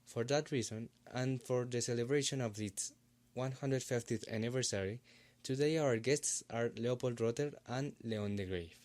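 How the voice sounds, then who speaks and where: A man's voice: mid-range voice, normal speed, a little mellow, lightly acted. A man playing a polite professor who hosts a scripted interview broadcast. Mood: formal, welcoming. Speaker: interview host. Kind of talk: scripted presenting in English.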